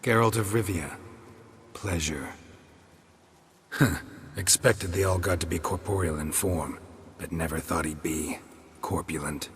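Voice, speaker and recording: An actor playing a gruff, dry-witted monster hunter in a video game, with a husky, deadpan, laconic delivery. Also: male voice, deep voice, measured pace, long pauses, exaggerated voice. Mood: dry, unimpressed. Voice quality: husky, raspy. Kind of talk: video game character acting.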